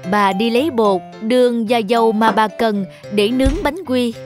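A woman's voice: on the high side, moderate pace. A woman narrator reading a scripted children's story. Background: jingly children's music.

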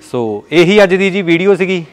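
Young Punjabi car seller, fairly high voice, repetitive showroom walkaround patter. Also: male voice, animated, normal speed, no echo. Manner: repetitive friendly pitch, addresses viewers as brothers. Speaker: car dealer showing stock. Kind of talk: walkaround sales tour. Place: large indoor car showroom.

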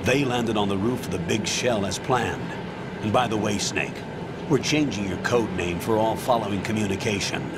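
An actor playing a stern middle-aged colonel in a video game, giving clipped, authoritative mission briefings. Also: male voice, on the low side, moderate pace, very noisy, natural voice. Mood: businesslike. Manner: authoritative, clipped, matter-of-fact. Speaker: commanding officer. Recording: background music under the voice. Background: game music.

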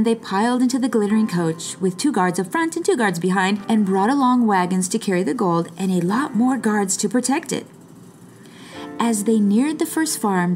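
A woman reading a children's story aloud expressively, with dramatic pauses and stretched words. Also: mid-range voice, darkish tone. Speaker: storybook reader.

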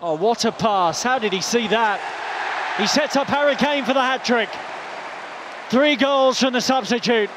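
A man giving excited, emphatic live football match commentary as a commentator. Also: very high voice, a little slow, noisy background. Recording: broadcast over crowd roar and music. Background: stadium crowd, music.

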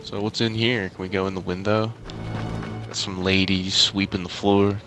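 Casual male let's-play commentator: deep-ish, sarcastic, sweary joker over clear game music. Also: flat delivery, normal speed. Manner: joking, sarcastic, profane. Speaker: gamer.

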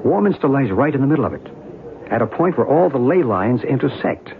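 An actor playing a patient, knowing UFO believer, explaining in a measured way in a 1970s radio drama. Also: male voice, mid-range voice, sing-song. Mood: assured explaining. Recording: tinny vintage radio broadcast, some noise.

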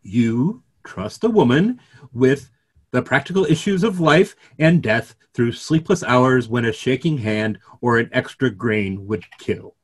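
A middle-aged man playing an earnest, gently ironic clergyman in a play reading, wordy and rhetorical. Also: mid-range voice, animated, unhurried, husky. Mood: ironic, arguing. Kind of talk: remote script reading of a stage play. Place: small room at home, video call.

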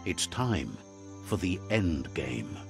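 Solemn storytelling game narrator, expressive. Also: male voice, sits low, takes it slowly, gravelly, exaggerated voice. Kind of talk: scripted video game narration. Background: game music.

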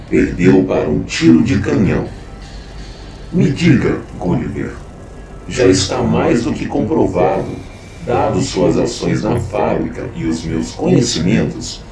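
A man's voice: sits low, full character voice. An actor playing a cold, scheming male villain who wants to become a god, speaking in a menacing, condescending, theatrical way. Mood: mocking. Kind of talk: audio drama acting. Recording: echoey, distant, loud score.

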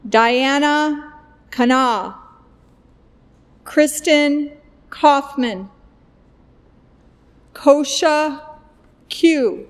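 A middle-aged woman at a podium microphone, reading graduates' names one by one in a slow, deliberate way. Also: sits high, in a large hall. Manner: deliberate, evenly paced name reading at a podium. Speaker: commencement name reader. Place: indoor stage at a podium, recorded for a virtual ceremony.

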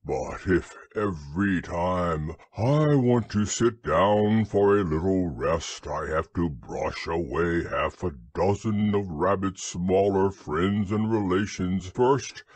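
A man's voice: sits low, takes it slowly, few pauses, darkish tone, breathy edge, dry recording, voice pushed down, full character voice. A man voicing a gloomy, aggrieved storybook donkey, ponderous, morose and complaining.

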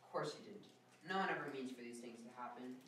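A man's voice: mid-range voice, fast, bright, forceful tone, lightly acted. A man playing a scheming adult lion villain who coldly lays blame, in a stage play rehearsal. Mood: cold, accusing. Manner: menacing, insinuating. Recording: echoey room, distant mic, noise.

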